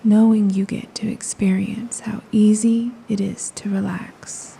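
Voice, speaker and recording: A woman guiding a hypnosis meditation in a soft, breathy, soothing voice, often near a whisper, with unhurried phrases and long pauses. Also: medium pitch, flat delivery, low-quality recording. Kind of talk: slow guided meditation, hypnosis narration. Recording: faint ambient noise of ocean waves. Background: ocean waves.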